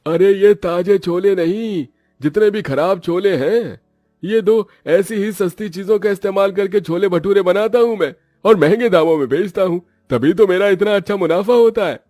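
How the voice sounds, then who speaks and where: Man voicing a high-pitched gloating crooked food seller. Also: moderate pace, a little mellow, no echo, studio quality, natural voice. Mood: gloating, sly. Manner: gloating, sly. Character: boastful, crooked male street-food seller.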